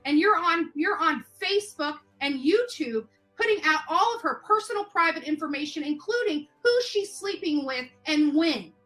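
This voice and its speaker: A woman's voice: high voice, expressive, moderate pace, hardly any pauses, a bit bright. A woman confronting someone with insistent, accusatory, repetitive questions on a phone call. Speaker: interviewer confronting a man by phone.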